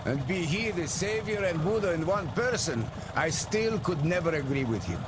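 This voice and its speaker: A man's voice: high voice, lively intonation, moderate pace, heavy background noise. An actor playing a weary, fatherly older submarine captain in a video game, speaking frankly and earnestly. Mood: grave, confiding. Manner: frank, earnest, plain-spoken. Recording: loud music behind the voice.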